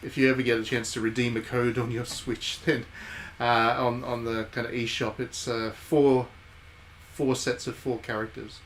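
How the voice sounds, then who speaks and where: Wry man streaming, clear background noise. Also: medium pitch, level tone, normal speed. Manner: wry, playful joking asides. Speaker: game streamer and former journalist. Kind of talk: casual live playthrough commentary, reading game text aloud.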